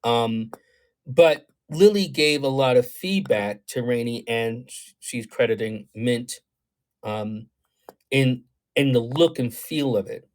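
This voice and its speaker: A man's voice: mid-range voice, slow, a little mellow. Guy doing astrology readings on a live stream, rambly and thoughtful, lots of ums, reacting to comments. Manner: meandering, thoughtful, many ums and you-knows; reads viewer comments aloud and reacts. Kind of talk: livestream talk answering chat.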